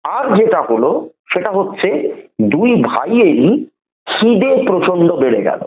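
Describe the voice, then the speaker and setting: A man with a Bengali accent tells a story conversationally on a phone call, explaining deliberately and repeating phrases for emphasis. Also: sits high, very animated. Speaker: podcast guest telling a horror story. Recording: narrow phone-call line, roomy echo.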